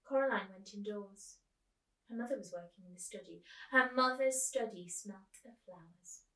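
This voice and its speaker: A woman's voice: mid-range voice, normal speed, fairly bright tone, recorded from a distance. A woman reading a children's book aloud in a storytime style. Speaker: storytime reader, teacher. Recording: echoey room, away from the mic.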